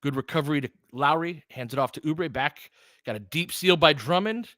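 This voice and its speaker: A man's voice: a little high, moderate pace, sharp tone, dry recording. Man calling basketball, close dry sound. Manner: informal, conversational, speaking to viewers directly. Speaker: livestream host and play-by-play commentator. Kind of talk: casual live basketball commentary mixed with chat to viewers.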